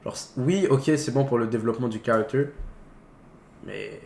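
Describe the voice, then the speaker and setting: A man's voice: medium pitch, normal speed, creaky, darkish tone. A man co-hosting a casual film talk show, speaking slangy peppered words. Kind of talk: casual chat about films. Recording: roomy echo, faint background noise.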